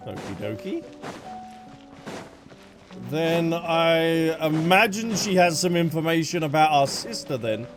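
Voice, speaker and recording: A woman's voice: low-pitched, animated, takes it slowly, pauses often, music in the background. A woman giving casual, reactive playthrough commentary, thinking aloud in a tentative way. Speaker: game streamer.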